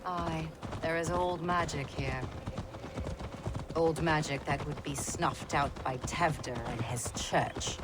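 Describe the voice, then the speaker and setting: A grave pagan witch guiding a traveler in a game drama. Her voice is low and solemn, with deliberate, knowing phrasing. Hoofbeats and outdoor sounds are clear behind her. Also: steady tone, noisy background. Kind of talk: video game drama acting. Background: horse hooves and outdoor ambience.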